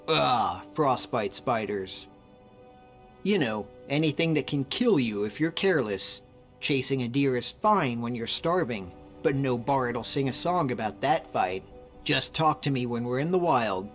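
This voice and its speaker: A man's voice: medium pitch, big-room sound, highs cut off, lightly acted. A man voicing a young, earnest, devout Nordic hunter, a loyal companion in a video game, talking in an informal, conversational way. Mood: alert, eager, then friendly, earnest. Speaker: video game follower character. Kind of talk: conversational game character voice acting.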